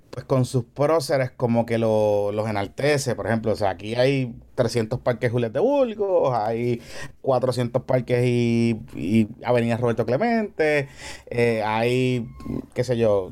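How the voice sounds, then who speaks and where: A Puerto Rican man with a mellow, relaxed voice talks as a podcast guest. He explains in a casual, conversational way, peppering his speech with colloquial asides and English slang. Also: medium pitch, normal speed. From Carolina, Puerto Rico.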